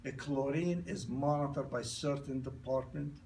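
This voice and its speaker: A man's voice: medium pitch, moderate pace, a little mellow, far from the mic, a little hiss or hum. A man in his sixties with a non-native accent, who grew up in New York, gives an informal sales presentation. He speaks in broken grammar, repeats and restarts, and tells stories. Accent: non-native English accent. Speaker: product presenter.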